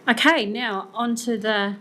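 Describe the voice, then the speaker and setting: A woman chairing a formal council meeting, brisk and procedural with a few ums. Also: on the high side, steady tone, bright, forceful tone. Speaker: committee chair. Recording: webcast with faint room noise.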